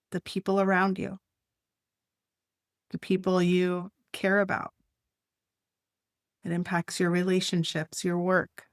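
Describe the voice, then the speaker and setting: A woman leading a guided meditation, speaking gently in short, unhurried phrases with long pauses. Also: fairly low pitch, dry recording, studio quality.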